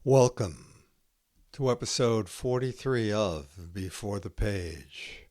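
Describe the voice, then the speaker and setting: Slow, low-voiced man reading poetry. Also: expressive, darkish tone. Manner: deliberate, with long pauses between short phrases. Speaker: podcast host reading poetry. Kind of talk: measured poetry reading and scripted narration.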